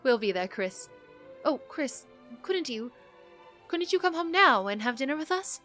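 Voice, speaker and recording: A woman playing a caring, earnest mother in a radio drama, with expressive delivery. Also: high-pitched, unhurried, soft background music, full character voice. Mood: affectionate. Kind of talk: radio play acting.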